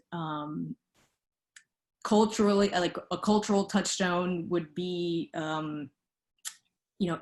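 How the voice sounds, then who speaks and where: Interview guest: thirties woman, low-pitched, thoughtful, filler-heavy delivery. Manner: reflective, with many fillers. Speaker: guest, lighting designer. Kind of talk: conversational interview.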